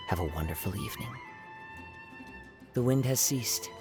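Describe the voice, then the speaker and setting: A man playing a gentle young wandering samurai poet in a video game, soft-spoken, measured and reflective, with a calm, wistful air. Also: mid-range voice. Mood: serene, reflective. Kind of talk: video game character voice lines.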